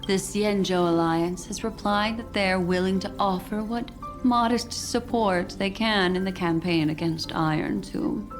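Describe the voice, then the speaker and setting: A woman's voice: mid-range voice, unhurried, soft background music, lightly acted. A woman playing a composed, courteous envoy in a video game, poised, articulate and formal. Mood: poised, pleased. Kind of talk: video game dialogue acting.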